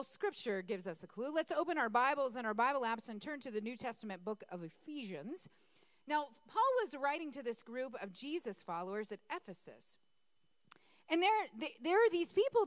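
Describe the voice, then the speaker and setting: A woman's voice: high voice, dry recording. A woman pastor who is losing her voice, preaching a conversational sermon in a church and calling on the congregation to answer her. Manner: conversational, asks congregation for responses.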